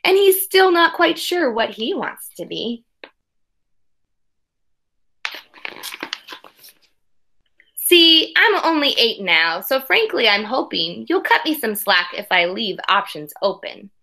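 A woman voicing an eager, imaginative eight-year-old schoolboy from a storybook, in animated, sing-song rhyme. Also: a little high, a little slow, gravelly, few pauses, full character voice. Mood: earnest, hopeful.

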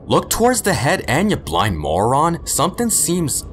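Brash male train engineer, acted by a man, higher-pitched, blunt, uneasy, very animated, for an audio drama. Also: measured pace, faint music behind, heavily acted. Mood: uneasy, irritated. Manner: casual, blunt. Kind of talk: amateur audio drama acting. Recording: faint background noise.

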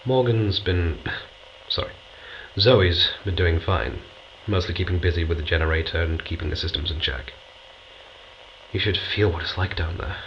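A man's voice: deep voice, normal speed. An actor playing a dry, understated astronaut scientist narrating his log in an audio drama. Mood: matter-of-fact. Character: dry, matter-of-fact male astronaut scientist keeping a log. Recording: dull, noisy recorded log.

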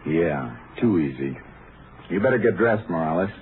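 Lawman investigator, low voice, matter-of-fact, probing, old radio western, thin hissy sound. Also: expressive, moderate pace. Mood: calm, probing. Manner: terse, matter-of-fact questioning. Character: calm, probing Texas Ranger on a case. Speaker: lead actor in radio drama. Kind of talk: 1950s radio drama acting. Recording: noisy, narrow-band 1950 radio recording.

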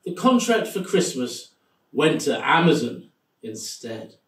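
A man's voice: mid-range voice, very animated, slow pace, a bit bright. A middle-aged man dramatically reading a rhyming story, recorded across a room. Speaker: poem reader. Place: living room indoors. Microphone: camera across the room. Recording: echoey room, distant mic.

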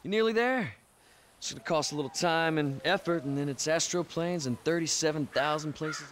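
A man's voice: on the high side, fairly quick, a bit bright, a little hiss or hum, lightly acted. An actor playing a breezy, self-satisfied celebrity man who has joined a cult, in a video game cutscene, full of bro talk. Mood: encouraging. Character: smug, breezy male celebrity actor turned cult believer.

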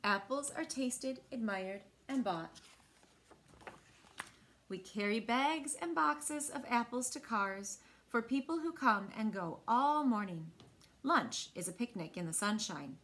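A woman in her thirties with an American accent, reading a picture book aloud to children with expressive, clearly phrased delivery. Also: a little high, measured pace. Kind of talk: children's read-aloud storytelling. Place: small indoor room.

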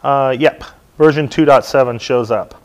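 A middle-aged man teaching a hands-on software install at a lectern in a dim meeting room, talking casually with many ums and uhs. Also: mid-range voice, moderate pace, highs cut off. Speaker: technical trainer. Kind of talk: hands-on tech tutorial talk.

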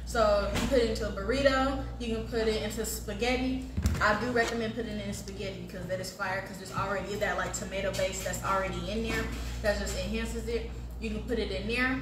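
A woman's voice: medium pitch, fairly even intonation, quick pace, over music. An American woman in her early twenties chatting casually as a guest taste tester, explaining things in slangy terms. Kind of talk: casual chat. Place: home kitchen, indoors. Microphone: phone camera a few feet away. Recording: echoey, distant, clearly noisy kitchen recording.

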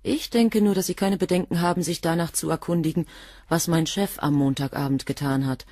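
Guarded, husky, coolly defiant assistant. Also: female voice, low-pitched, brisk, mellow, no echo, clean studio sound. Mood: defiant, controlled. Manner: precise, cool, clipped answers. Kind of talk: German crime radio play dialogue.

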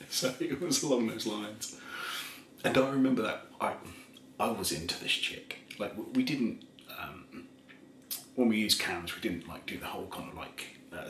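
A man of forty telling a story in a casual, soft-spoken way, rambling and laughing at himself, seated in a small kitchen in front of a camera. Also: mid-range voice, roomy sound, slight background noise. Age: 40s. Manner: rambling, laughs often, self-mocking. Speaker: ASMR creator.

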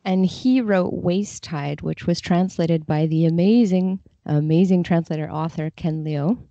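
A woman's voice: lowish voice, measured pace, mellow, dry recording, lo-fi sound. A woman talking casually and thoughtfully as a guest translator in a podcast interview, explaining her views.

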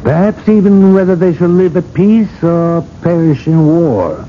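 Radio play role: elderly cardinal, measured, persistent, wryly needling, on a dull vintage broadcast. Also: male voice, expressive. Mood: grave, admonishing. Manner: measured, rhetorical, gently needling. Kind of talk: radio drama acting. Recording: muffled vintage radio broadcast, noisy rumble.

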